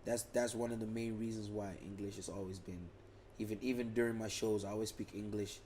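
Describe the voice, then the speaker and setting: A man's voice: lowish voice, brisk, slight background noise. A young man from the Netherlands, a music artist chatting casually in a podcast interview, often repeating and restarting his words. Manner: relaxed, repeats words and restarts, many fillers.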